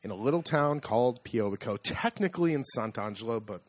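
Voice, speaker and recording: A man's voice: mid-range voice, animated, brisk, no echo. Man co-hosting a dry, close podcast. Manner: explains at length, with restarts and asides. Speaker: podcast co-host. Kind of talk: casual chat. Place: home in rural Italy.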